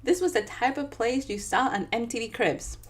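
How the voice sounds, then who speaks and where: A woman's voice: fairly high pitch, moderate pace. A woman with a Dominican accent, an author chatting with viewers and then reading her own book aloud in a live session. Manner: chatty and animated, talking straight to viewers.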